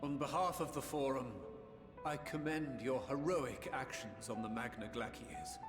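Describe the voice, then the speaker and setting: A man's voice: medium pitch, unhurried, music in the background, lightly acted. An actor playing a formal male chairman who opens an official session in a video game. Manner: formal, ceremonial. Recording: roomy with long reverb.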